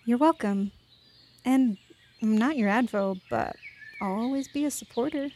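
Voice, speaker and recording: Earnest, high-voiced young woman, acted, outdoor ambience. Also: a little slow, darkish tone, a little hiss or hum, lightly acted. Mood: tender, resolved. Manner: sincere, careful, emotionally open. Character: earnest, fierce but contrite young woman, a witness. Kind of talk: naturalistic fiction podcast acting.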